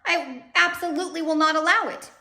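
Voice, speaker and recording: Woman playing an outraged, shrill banker man. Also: fairly quick, sharp tone, reverberant, exaggerated voice. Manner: emphatic, outraged, sputtering. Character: indignant, flustered banker man.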